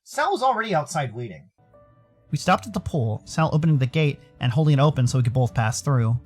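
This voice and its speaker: An American man from Arizona giving a theatrical, expressive dramatised narration of a visual novel as a streamer. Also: mid-range voice, brisk, breathy edge, soft background music. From Arizona, United States. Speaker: streamer, voice performer.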